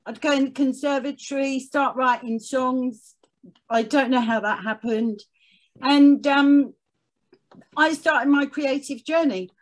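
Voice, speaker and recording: A woman's voice: sits high, slow pace, rough recording. A woman speaking conversationally as an interview guest, telling personal stories. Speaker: podcast guest, charity chief executive.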